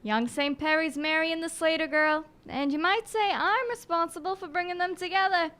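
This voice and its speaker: Woman playing a high, bright, cheeky village postman. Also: moderate pace. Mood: boastful. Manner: folksy, boastful, talkative. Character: cheeky, chatty, nosy old male village postman. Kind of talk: radio drama acting.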